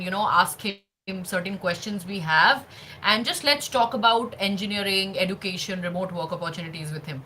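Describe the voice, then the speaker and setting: A woman's voice: medium pitch, pushed and bright, a little hiss or hum, rough recording. A woman hosting an interview show in a conversational, wordy way, often padding her phrases.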